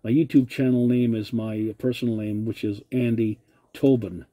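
A man's voice: medium pitch, steady tone, normal speed, dark tone. A man making a CB radio contact, polite and using radio procedure words. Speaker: CB radio operator.

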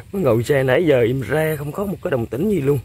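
Excitable man outdoors. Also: mid-range voice, fairly quick, slightly rough. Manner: excitable, exclaims often, chuckles. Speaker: fishing vlogger. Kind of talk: casual outdoor commentary to viewers. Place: outdoors by a riverbank.

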